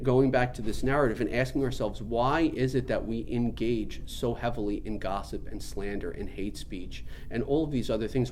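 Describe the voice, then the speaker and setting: Earnest man teaching over clear background noise. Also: mid-range voice, darkish tone. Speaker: spiritual teacher. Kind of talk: informal spiritual teaching talk.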